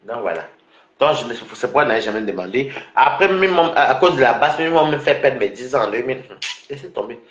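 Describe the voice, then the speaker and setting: A man's voice: medium pitch, animated, fast, fairly bright tone, echoey room, dull audio. Rambling man from Cameroon talking casually. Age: adult. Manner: rambling, many restarts and fillers. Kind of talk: casual personal monologue.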